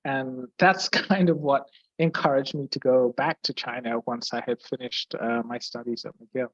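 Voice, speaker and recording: A young man from Brazil with an English accent, a guest physicist answering questions on a video call, hesitant with many fillers and restarts and frequent throat clearing. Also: mid-range voice, fairly even intonation, normal speed, no room sound, lo-fi sound. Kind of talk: explaining physics in a Q&A.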